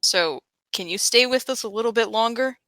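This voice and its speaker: A woman role-plays in a tabletop game. Her voice is bright and mid-pitched, earnest and conversational. The recording is very dry and close. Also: moderate pace, dry recording. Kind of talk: casual in-game role-play.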